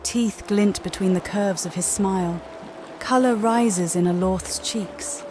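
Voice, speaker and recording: A woman's voice: mid-range voice, slow pace. Woman reading story narration for a game playthrough, medium voice, music going behind her. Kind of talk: scripted story narration with voiced player lines.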